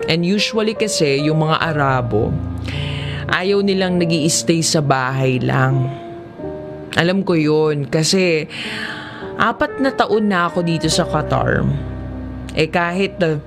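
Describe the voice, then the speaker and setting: A Filipino narrator telling a first-person confessional drama story in a measured way, often pausing mid-sentence. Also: medium pitch, music in the background.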